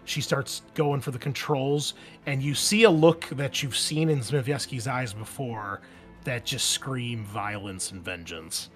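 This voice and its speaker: Male game master, middle-pitched, loose conversational narration and rulings, light music bed. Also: measured pace. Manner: conversational, improvising rulings aloud. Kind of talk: narrating a tabletop roleplaying game.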